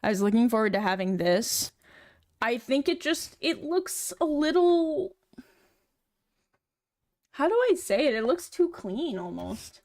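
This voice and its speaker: A woman's voice: fairly high pitch, very animated, measured pace, long pauses, darkish tone. A young woman streaming, chatting casually and rambling with lots of fillers as she works on her model. She has a feminine voice. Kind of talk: casual chat while working on a model.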